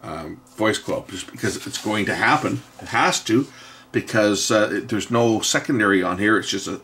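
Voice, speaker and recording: A man giving an unscripted, hands-on technical explanation in a halting way, often pausing and repeating himself to correct what he says. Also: fairly low pitch, a bit bright. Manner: halting, self-correcting, with frequent pauses and repeats. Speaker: host of an electronics repair channel.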